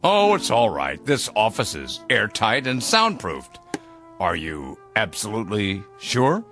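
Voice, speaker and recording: A man's voice: fairly low pitch, wildly swooping pitch, a little slow, a bit bright, faint music behind, heavily acted. An actor playing a stern, suspicious boss in a radio drama, formal and clipped as he interrogates. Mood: quietly menacing. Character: stern, suspicious male boss, quietly menacing. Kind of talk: old-time radio style drama acting.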